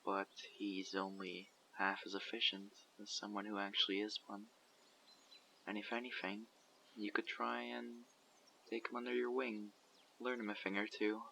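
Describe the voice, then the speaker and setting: A man voicing a guarded survivor leader who recruits people for a community, asking measured, probing questions in an audio roleplay. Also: on the low side, creaky, pushed and bright, a little hiss or hum. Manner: measured, probing, matter-of-fact. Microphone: USB condenser microphone.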